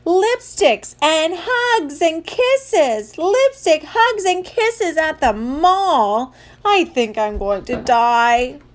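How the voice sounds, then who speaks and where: A woman's voice: high-pitched, lively intonation, unhurried, voice pushed up. A woman voicing an exasperated, embarrassed young boy in a children's story, whiny and dramatic. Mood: horrified, shrieking.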